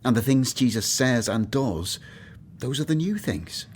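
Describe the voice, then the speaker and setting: An actor playing a weary, anxious, grumbling former tax collector turned disciple in a radio play, brooding and earnest. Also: male voice, mid-range voice, expressive, measured pace, darkish tone, a little hiss or hum. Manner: brooding, earnest, self-doubting.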